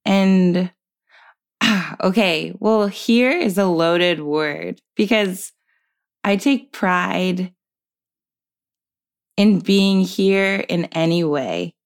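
A woman in her twenties, a podcast guest, speaking thoughtfully and in a measured way, with soft laughs between her points. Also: medium pitch. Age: 20s. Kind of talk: reflective conversational interview.

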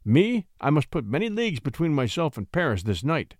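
A man reading a story, playing a huge, suave marquis who speaks in a grand but softened, courtly way. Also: a little high, very animated, fairly quick, no echo, studio quality. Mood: courtly, cautious. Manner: great voice softened by habit, courtly.

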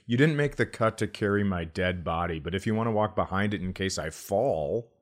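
A middle-aged man with a low-pitched voice, talking like a comedian on a podcast. He spins joking stories in a casual, deadpan way. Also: fairly quick.

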